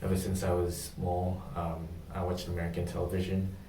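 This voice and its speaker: Hesitant young man with a very low, flat voice. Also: reverberant, recorded from a distance, slight background noise. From Indonesia. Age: young adult. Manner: hesitant, many pauses and ums. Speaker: job candidate in a practice interview. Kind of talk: mock job interview answers.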